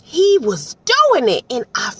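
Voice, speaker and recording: A woman giving casual, unscripted commentary in an animated, colloquial way, repeating phrases for emphasis and checking in with the listener. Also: sits high, slow. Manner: chatty, often checks in with the listener. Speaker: audiobook reviewer giving personal commentary.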